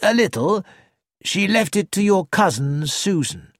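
A narrator voicing a reserved, patient older male lawyer who speaks quietly and carefully in a dry studio recording. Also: mid-range voice, lively intonation, dry recording, voice pushed up. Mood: measured. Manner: measured, quiet.

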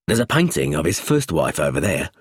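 A man voicing an abrupt, measured police chief inspector in an audiobook. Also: rumbling low, sing-song, husky, no echo, studio quality, pitched below the performer's own voice, exaggerated voice. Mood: calm. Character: calm, brisk male police chief inspector.

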